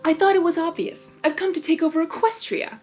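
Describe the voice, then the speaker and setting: A woman's voice: very high-pitched, fairly even intonation, a little mellow, soft background music, pitched above the performer's own voice. A woman voicing a theatrical male chaos creature, a mocking villain who feigns innocence in a story reading. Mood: smug. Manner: mocking, mock-innocent, drawn-out.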